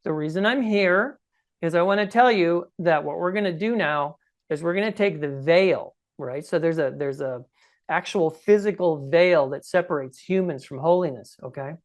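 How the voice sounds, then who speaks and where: A man's voice: a little high, moderate pace. A man in his sixties with a scratchy voice, telling his own story conversationally and emphatically as an interview guest. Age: 60s. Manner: emphatic, often checks in with "okay?" and "right?". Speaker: podcast guest recounting personal experience. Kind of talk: conversational first-person storytelling.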